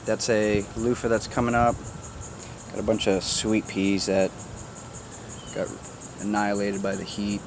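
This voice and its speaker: Man, lower voice, casual backyard tour, outdoors, chatty and joking, faint insect hum behind. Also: measured pace, long pauses. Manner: relaxed, chatty, jokes with his animals. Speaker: gardener giving a backyard tour. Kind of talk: casual walk-through narration.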